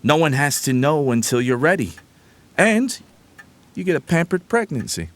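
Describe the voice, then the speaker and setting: A man acts out a protective family lawyer in an audio drama. He is earnest and emphatic, reassuring yet insistent. His pitch moves in wide, animated swings. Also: moderate pace, no room sound.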